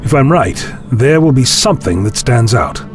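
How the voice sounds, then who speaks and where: A man acts out a calm, authoritative scientist-adventurer from a sci-fi audio drama. He explains things in deliberate, formal sentences at a medium pitch, with music running underneath. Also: natural voice. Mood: decisive. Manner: measured, deliberate, formal phrasing. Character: learned, calmly authoritative male time-travelling scientist. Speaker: lead actor in a fan audio drama. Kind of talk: scripted science fiction audio drama acting.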